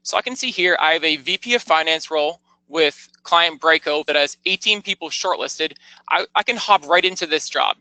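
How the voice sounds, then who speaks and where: A man with a fairly high, bright voice presents a software demo. He talks informally and explains each step in turn. The sound is close and dry, like a screen-recording voice-over. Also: moderate pace, dry recording, low-quality recording. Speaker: software product demonstrator. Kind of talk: conversational product tour narration.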